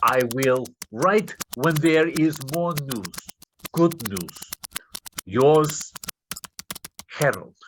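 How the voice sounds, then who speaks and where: A desperate Russian con man, acted by a man in a readers theater play, speaks with a Russian accent in halting, broken English. His voice sits a little high and swings widely in pitch, yet he moves extremely slowly, as if composing each phrase, over faint noise. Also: animated. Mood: pleading, ingratiating. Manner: halting broken English, muttering asides to himself. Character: desperate Russian male scammer posing as Nigerian suitor. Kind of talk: staged readers theater acting.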